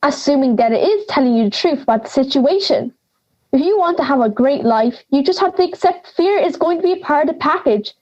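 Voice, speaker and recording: A woman's voice: high-pitched, animated, fairly quick, darkish tone, muffled recording. A young woman playing a feisty, blunt granny of ninety in an audio drama, scolding and sarcastic. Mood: urging. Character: feisty, blunt ninety-year-old granny living in the woods.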